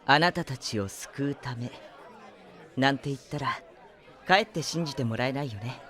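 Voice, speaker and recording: An actor playing a polite, composed, enigmatic youth in a Japanese audio drama, soft-spoken and boyish. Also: male voice, medium pitch, moderate pace, crowd noise, close to an everyday voice. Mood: composed, mysterious.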